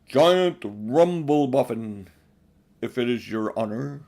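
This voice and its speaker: A man acting a huge, courteous, rough-spoken giant. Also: mid-range voice, expressive, slow, dark tone. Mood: respectful, eager. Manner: courteous, colloquial and deferential. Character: huge polite slow-witted giant, rough-spoken and obliging.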